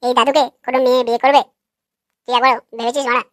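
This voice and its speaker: Cartoon young heckler, male, voiced by a woman: sceptical, crude, high-pitched, racing delivery. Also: little pitch movement, very fast, no echo, clean studio sound, lightly acted. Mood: mocking. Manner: taunting, foul-mouthed. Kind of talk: comic cartoon dialogue.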